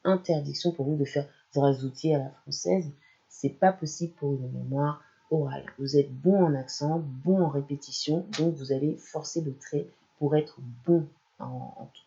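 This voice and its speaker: A presenter explaining a learning topic straight to the listener, in a gravelly, mellow voice. The sound is dull and lo-fi. The delivery is steady and instructional. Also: mid-range voice, moderate pace. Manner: explanatory, addresses listener directly. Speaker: language channel presenter. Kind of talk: educational talk to camera.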